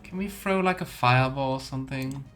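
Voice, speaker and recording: A man's voice: medium pitch, a little fast, fairly bright tone, soft background music. A man giving casual, musing let's play commentary with wry teasing asides. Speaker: game streamer.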